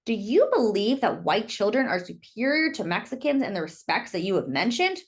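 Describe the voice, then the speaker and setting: A woman's voice: medium pitch, big pitch swings, normal speed, slightly rough. A woman voicing a male lawyer who questions a witness formally and pointedly in a storybook read-aloud. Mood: probing.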